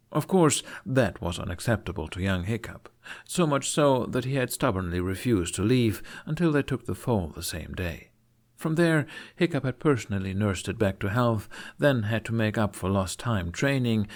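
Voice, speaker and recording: A man reading a scripted fantasy story aloud in an expressive audiobook style. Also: on the low side. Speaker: fan fiction narrator.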